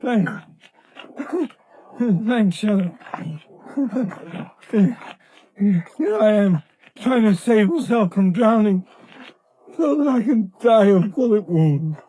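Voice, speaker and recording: An actor playing a cowardly, pleading small-time crook, fearful and halting, in 1930s radio melodrama. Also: male voice, high voice, lively intonation, dark tone, exaggerated voice, poor audio. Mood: despairing. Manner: fearful, halting, repeats words. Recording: vintage 1930s radio broadcast.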